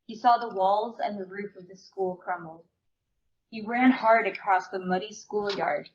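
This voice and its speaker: Muffled woman giving a dramatic literary reading. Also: medium pitch. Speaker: theater performer reading a book excerpt aloud.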